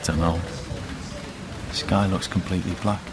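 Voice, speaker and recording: A man's voice: deep voice, fairly even intonation, normal speed, lightly acted. An actor playing a dry, self-deprecating man from in his thirties, speaking in a radio drama. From Yorkshire. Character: man from Yorkshire living in London, wry and self-deprecating. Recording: radio drama with background noise.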